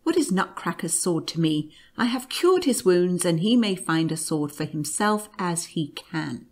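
An odd old man from a storybook, acted out by a woman, droll and cranky. The voice sits low and moves slowly, with a measured, peculiar delivery. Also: breathy edge, heavily acted. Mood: angry. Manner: grating, monotonous chanting when reciting.

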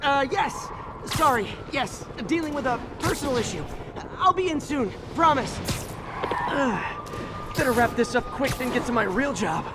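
High, animated young superhero. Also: male voice, measured pace, very noisy. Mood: flustered. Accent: American. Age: young adult. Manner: quippy, playful. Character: young male masked superhero, wisecracking, eager, upbeat. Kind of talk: video game voice acting. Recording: loud game music over voice.

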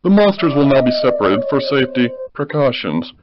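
A man's voice: medium pitch, very animated, a little fast, heavy background noise, natural voice. A man playing a bumbling TV news anchor in a cartoon, reading formally in newscast style with awkward stumbles. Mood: formal announcing. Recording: dull, band-limited TV audio.

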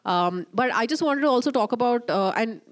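A woman's voice: on the high side, lively intonation, a little fast. A woman in her thirties moderating a conference panel, explaining at length with many fillers, into a handheld mic. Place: conference stage, indoors. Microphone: handheld microphone.

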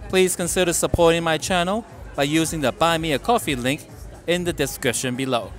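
A middle-aged man with a slightly raised speaking voice, talking dryly about food from a quiet restaurant booth. He speaks close to a small mic, with a faint trace of music behind him. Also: on the high side, fairly quick. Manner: wry, deadpan remarks about prices. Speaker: food vlogger. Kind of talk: casual restaurant review vlog. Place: dim restaurant booth, indoors.

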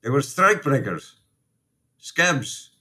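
A guarded, reproachful immigrant priest from Poland in a radio play. He speaks slowly at an ordinary male pitch. His phrasing is measured and formal. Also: sing-song, sharp tone, heavily acted. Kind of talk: radio drama acting.

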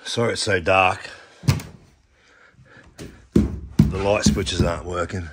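A man's voice: sits low, fairly even intonation, slow, heavy background noise. A man in his fifties with an Australian accent, chatting casually while hiking on open plains, talking into an action camera. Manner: laid-back, rambling, says "eh" and "yeah". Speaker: solo outback camping vlogger. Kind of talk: casual outdoor vlog chat. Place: outdoors on open grassy high plains. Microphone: action camera worn or handheld while walking.

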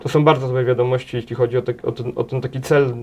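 A man's voice: medium pitch, steady tone, fast, darkish tone, a little hiss or hum. Guy in his 30s, tv studio interview guest, keeps restarting his sentences, table mic. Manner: hesitant, many restarts and repeated words. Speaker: interview guest, head of a transport association. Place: small TV studio.

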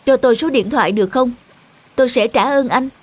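A woman voicing a grateful, affectionate young woman in an animated cartoon. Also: fairly high pitch, brisk, natural voice. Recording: music behind the voice.

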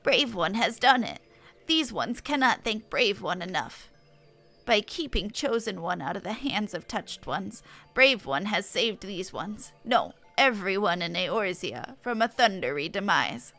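A woman's voice: medium pitch, big pitch swings, a little slow, pushed and bright, soft background music, exaggerated voice. A woman voicing a small, earnest, fretful forest sylph from a fantasy game, speaking oddly in the third person. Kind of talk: reading game dialogue in character.

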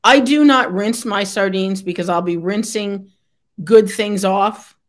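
A middle-aged woman hosting a video call interview from home, friendly with quick affirmations and opinions. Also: medium pitch. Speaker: host. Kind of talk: casual interview chat. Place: home room with brick wall.

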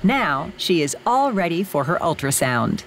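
A woman narrates a documentary with a lower voice that moves a lot in pitch. Her reading is lively and playful. Clear music plays under her. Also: a little slow. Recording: voice-over with music bed.

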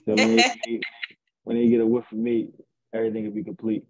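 A young man, a rapper, talking casually and boastfully in an interview over a video call, with a deep raspy voice. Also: big pitch swings, a little fast. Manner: laid-back, boastful, rambling. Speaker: rapper guest. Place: indoors at home. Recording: tinny video call audio.

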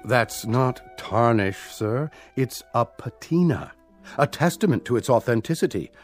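An actor playing a smooth, flattering shop salesman with flowery, persuasive patter in a radio drama. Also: medium pitch, a little slow, faint music behind, natural voice.

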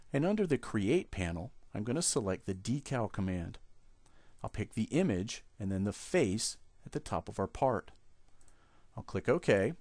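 A man with a low voice talks through a software tutorial, explaining each step in a steady way. The recording is dry and close to the mic, as if made at a desk over a screen capture. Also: no room sound. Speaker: software trainer.

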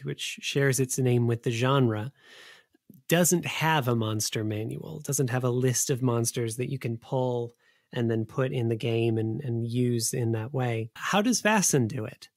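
Male podcast interviewer, medium pitch, thoughtful and articulate, clean dry room sound. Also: darkish tone, no room sound. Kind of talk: conversational interview questioning.

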